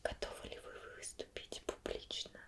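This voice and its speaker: ASMR roleplay, woman as female investigator: all in whisper, soft-spoken, formal, patient, measured questioning. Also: natural voice. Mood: probing, serious.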